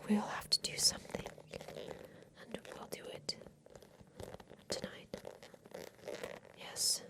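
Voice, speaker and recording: Woman acting a slow, whispering secretive attic girl. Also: close to an everyday voice. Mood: tender, curious. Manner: slow, hushed, deliberate, repeats phrases. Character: captive girl in a haunted attic, secretive, tender creator. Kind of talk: whispered ASMR roleplay.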